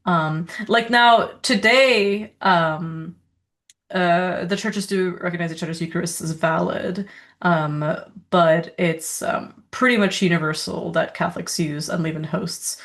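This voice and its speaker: A woman of origin with a slightly lowered pitch, chatting casually as a podcast co-host. She talks in loose, rambling runs, peppering her speech with filler words and easy laughter as she goes. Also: fairly bright tone. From Serbia. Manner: rambling, quick asides, lots of "like" and laughter. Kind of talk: casual conversational chat.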